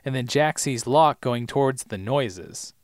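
A man recapping a show on a podcast, his voice slightly hoarse from talking. He speaks at a mid pitch in a casual, chatty way and laughs a lot. The sound is dry and close. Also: lively intonation, unhurried, dry recording. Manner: laughs often, narrates scenes in detail. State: losing his voice. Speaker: podcast co-host.

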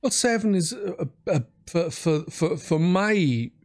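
A man hosting a podcast in casual chat, speaking haltingly and repeating words as he goes. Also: high-pitched, expressive, no room sound, studio quality. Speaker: podcast host.

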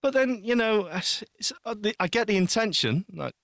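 A man's voice: sits high, lively intonation, moderate pace, gravelly, fairly bright tone, dry recording, clean studio sound. A man co-hosting a podcast, chatting casually with playful banter and questions. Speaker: podcast co-host.

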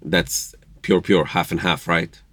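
A man's voice: low voice, fairly even intonation, slow, a bit bright, no room sound. An Israeli man talking earnestly on a podcast, in slightly broken English, often laughing. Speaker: podcast guest. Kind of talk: casual conversation.

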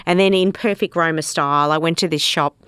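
A middle-aged woman, a worship leader, teaching conversationally and telling lively anecdotes. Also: fairly quick, smooth, no room sound. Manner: animated storyteller, voices quoted people higher.

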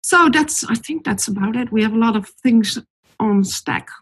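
Husky Dutch woman, frank and matter-of-fact. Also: fairly high pitch, lively intonation, moderate pace, fairly bright tone. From Netherlands. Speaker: company CEO, panel guest. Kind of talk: panel discussion.

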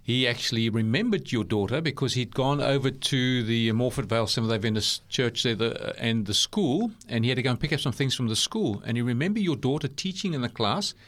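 A man's voice: mid-range voice, fairly quick, hardly any pauses, fairly bright tone. A man with a mixed South African and New Zealand accent hosting an interview in a studio, chatty and laughing easily. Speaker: interview host. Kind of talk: conversational interview.

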